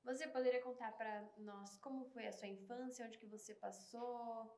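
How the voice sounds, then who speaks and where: A woman asking polite, soft-spoken questions as interviewer. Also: on the high side, fairly even intonation, normal speed, echoey room.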